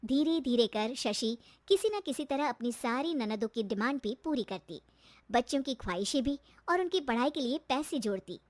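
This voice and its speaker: A woman's voice: sits high, lively intonation, moderate pace, no echo. A woman reading scripted narration for a moral cartoon story.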